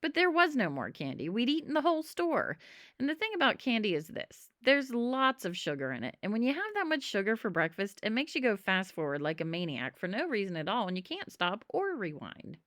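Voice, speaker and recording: A woman reading a children's storybook aloud in an expressive narrating style. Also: mid-range voice, a little fast, no echo, clean studio sound. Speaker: storybook reader.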